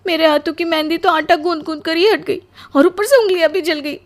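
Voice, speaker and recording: A woman acts out a young newlywed wife in a cartoon melodrama. The voice is extremely high and plaintive, full of weary complaint at being put upon. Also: fairly quick. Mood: exasperated. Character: exhausted, put-upon young newlywed bride, aggrieved and tearful. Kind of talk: melodramatic cartoon story acting.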